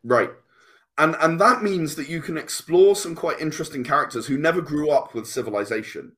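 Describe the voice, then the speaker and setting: A man speaking as an interview guest, explaining and storytelling conversationally, halting and repeating words as he goes. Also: on the high side, a bit bright. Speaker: podcast guest, comic writer.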